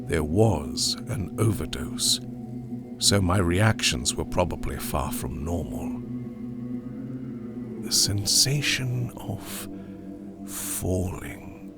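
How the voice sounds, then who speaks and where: A man performing a haunted survivor in a dramatic gothic horror audiobook, grave and theatrical, with hushed near-whispered phrases. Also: deep voice, heavily acted. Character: haunted male survivor of an opium overdose, recounting horror. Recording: studio narration over music.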